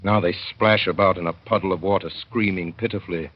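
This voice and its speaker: A man narrating a 1930s radio drama as a father, in a wry, mournful storytelling manner that slows to dwell on sad images. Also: deep voice, fairly even intonation. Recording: dull old radio broadcast.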